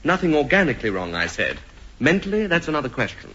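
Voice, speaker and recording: Pompous doctor, lively old radio comedy. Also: male voice, a little high, heavily acted. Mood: grave, professorial. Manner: deliberate, formal, clinical phrasing. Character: earnest, pompous male doctor with a childish streak. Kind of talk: 1950s radio comedy acting. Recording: noisy, dull old radio recording with clicks.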